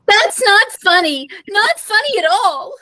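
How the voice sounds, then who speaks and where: A woman's voice: very high voice, sing-song, a little slow, sharp tone, heavily acted. A woman voicing a frantic, tearful mother in a dramatized children's storybook reading, urgent and wailing. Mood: upset, indignant.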